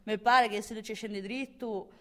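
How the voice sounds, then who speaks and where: A woman's voice: mid-range voice, steady tone, normal speed, bright, forceful tone, natural voice. An actress playing a complaining, gossiping village woman with a Sardinian accent from Gallura, full of exclamations. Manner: exclaiming, grumbling, chatty. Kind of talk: comic dialect audio drama dialogue.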